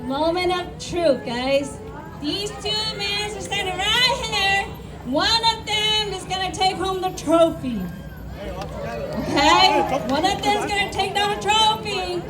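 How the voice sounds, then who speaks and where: A very high-pitched woman emcee works a noisy outdoor crowd, calling for one more cheer. She sounds set back from the mic, with a loud crowd and steady noise all around. Heard on an amateur live recording. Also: slow, recorded from a distance. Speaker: event emcee. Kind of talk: announcing a live singing contest.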